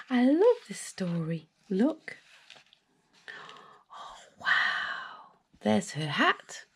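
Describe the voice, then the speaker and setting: British woman storyteller with wildly lively pitch. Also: gravelly, husky. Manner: expressive, sing-song delivery with asides to young listeners. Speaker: storyteller, children's channel host. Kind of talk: read-aloud children's picture book storytelling.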